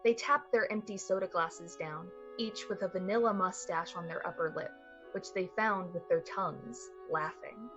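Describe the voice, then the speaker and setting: A woman with a breathy voice delivering scripted, dramatic narration for a suspense radio play. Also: fairly low pitch, moderate pace, fairly bright tone, dull audio, faint music behind. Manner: dramatic, literary storytelling.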